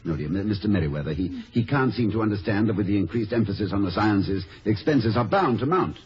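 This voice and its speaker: An actor playing a genial, fretful college president in a 1950s radio comedy, hesitant and professorial in manner. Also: male voice, lowish voice, expressive, fast talker, husky. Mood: admiring, fretful. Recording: dull, hissy vintage radio recording.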